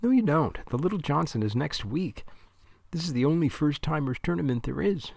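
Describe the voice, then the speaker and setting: A sharp, impatient teenage girl debate captain, put on by a man in a raised, lively voice. She needles and lectures with quick comebacks, her voice a touch gravelly underneath. The recording is close and dry. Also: fairly high pitch, no echo, voice pushed up. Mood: needling.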